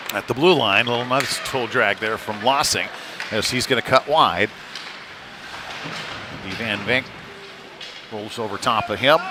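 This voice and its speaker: A man doing live ice hockey play-by-play commentary in a conversational, informal manner. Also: medium pitch. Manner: conversational, informal, tag questions. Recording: clearly noisy venue ambience.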